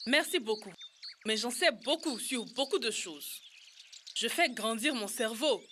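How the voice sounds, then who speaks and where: Woman voicing an eager high-voiced little boy. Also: expressive, measured pace, pushed and bright, slight background noise. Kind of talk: dubbed educational cartoon acting. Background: music.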